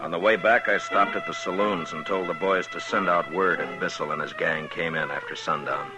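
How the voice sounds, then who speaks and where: Very low voice, stern frontier marshal, played by a man, terse, calm. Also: a little fast, over loud music, lightly acted, poor audio. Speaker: narrator and lead. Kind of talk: 1950s radio drama acting and narration.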